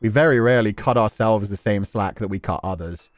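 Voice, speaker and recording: A man's voice: fairly low pitch, brisk, no room sound. A man giving casual, emotive live commentary as a game streamer, swearing freely and acting out characters' thoughts as they analyse a story. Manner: emotive, acts out imagined inner thoughts, sweary.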